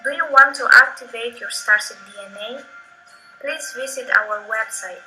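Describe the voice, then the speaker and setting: A woman narrates a scripted text in a very bright, sharp voice with a solemn, declamatory delivery. Her pitch sits a bit high, and soft music plays under her. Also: normal speed, poor audio. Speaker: video narrator.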